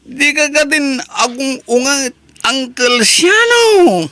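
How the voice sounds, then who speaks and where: Casting: drunken village husband, Ilocano accent, slow drawl, bright piercing tone, cheeky radio-drama delivery. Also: male voice, very high voice, expressive, dry recording, full character voice. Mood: wheedling, tipsy. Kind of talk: amateur Ilocano radio-style drama acting.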